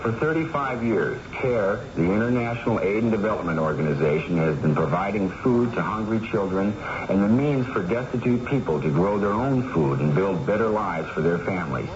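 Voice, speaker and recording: Male charity announcer, low voice, scripted radio appeal, dull and noisy vintage sound. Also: lively intonation, normal speed, few pauses. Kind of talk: scripted public service radio spot.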